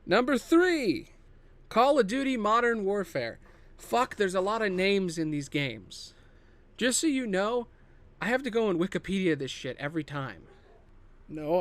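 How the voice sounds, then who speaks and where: Male podcast host, higher-pitched voice, off-the-cuff and self-interrupting, loose and sweary. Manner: rambling, thinking aloud, self-interrupting; casually profane. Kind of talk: casual improvised solo monologue pitching film adaptations.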